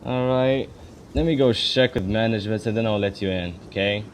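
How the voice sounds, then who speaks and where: A man voicing a guarded, businesslike twelve-year-old boy guarding a village in a fan dub, speaking informally over faint rumbling noise. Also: a little fast, close to an everyday voice. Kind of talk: fan dub character dialogue.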